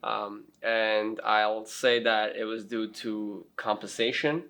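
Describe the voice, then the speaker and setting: A man's voice: lowish voice, fairly even intonation, slow pace, fairly bright tone. A man in his twenties talking reflectively in a group discussion. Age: 20s. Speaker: interview participant.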